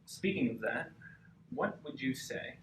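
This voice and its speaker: A man performs as a slick, self-satisfied man of about forty in a table read. He is smooth and condescending, in a reverberant room with clear background noise. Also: medium pitch, lively intonation, unhurried, close to an everyday voice. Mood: coaxing. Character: slick, arrogant forty-ish boyfriend. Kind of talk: live table read of a novel. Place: cramped bookstore event, live. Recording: echoey live bookshop, clearly noisy.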